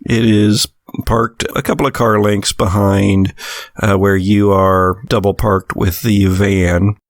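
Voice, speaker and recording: Low-voiced man narrating as game master. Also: level tone, slow pace, no echo, studio quality. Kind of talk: narrating a tabletop horror game.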